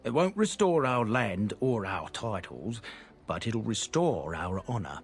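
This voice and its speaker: A proud, gruff merchant. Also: male voice, lowish voice, very animated, unhurried, faint music behind. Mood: earnest. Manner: blunt, earnest, plain-spoken. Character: proud, gruff male merchant of a disgraced noble family. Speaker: game character. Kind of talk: video game dialogue.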